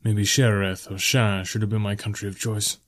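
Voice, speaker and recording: A man's voice: fairly low pitch, little pitch movement, normal speed, lightly acted. A man voicing a weary, guarded mercenary in a fantasy audiobook, with a dry, brooding way of muttering. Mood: resigned, dry. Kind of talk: fantasy audiobook character dialogue.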